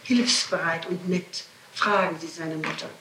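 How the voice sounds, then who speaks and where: A woman's voice: on the low side, fairly even intonation, a little slow, sharp tone, echoey room, a little hiss or hum, poor audio. A woman being questioned, answering spontaneously in an emphatic, pleading, insistent manner on an archival interrogation tape. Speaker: person being questioned by a prosecutor.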